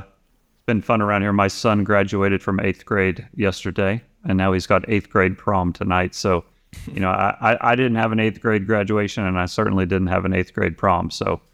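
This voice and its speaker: Deep-voiced man chatting on a podcast. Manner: rambling, many restarts and fillers. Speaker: podcast guest. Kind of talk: casual conversation.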